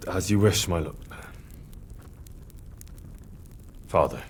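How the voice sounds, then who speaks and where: A man acting an earnest, respectful young squire in a medieval video game, with a husky voice. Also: sits low, brisk, slight background noise, natural voice. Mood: deferential.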